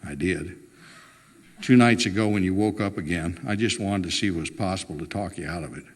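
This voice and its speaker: A man's voice: low-pitched, fairly quick, long reverb tail, voice pushed down. A man voicing a reluctant, plainspoken husband in a storybook reading, stubborn, wry and resigned. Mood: resigned, tender.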